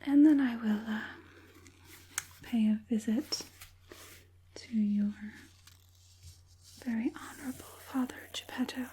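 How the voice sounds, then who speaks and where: A woman playing a sinister, coaxing witch puppet master in a whispered ASMR roleplay, speaking softly and deliberately in a breathy whisper. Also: fairly high pitch, slight background noise. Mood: calm, scheming. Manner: slow, soothing, deliberate, menacingly sweet.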